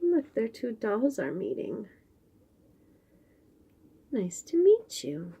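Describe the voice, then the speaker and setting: A woman storyteller reading picture books aloud to young children, gently and with wonder, pausing to chat to the listeners. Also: a little high, very animated, creaky, a little hiss or hum. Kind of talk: expressive picture-book read-aloud with asides to young listeners.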